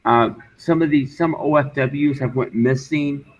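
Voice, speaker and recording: Man arguing over a dull, noisy call. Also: medium pitch. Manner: halting, insistent, repeats himself. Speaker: discussion guest. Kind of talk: argumentative casual talk. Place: online group voice call.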